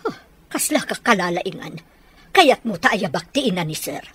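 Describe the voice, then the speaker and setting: Scolding, outspoken household servant. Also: female voice, medium pitch, sing-song, normal speed, fairly bright tone, breathy edge. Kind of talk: radio drama acting.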